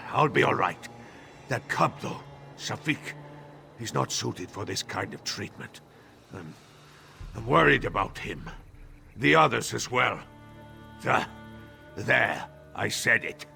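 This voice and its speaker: A gruff, slow-talking sea captain for a video game, with a heavily creaking, gravelly voice. He is a roguish mercenary who blusters to hide a soft heart, and the sound is bright and forceful over faint music. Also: mid-range voice, exaggerated voice. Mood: weary bravado, then reluctantly worried. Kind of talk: video game character dialogue.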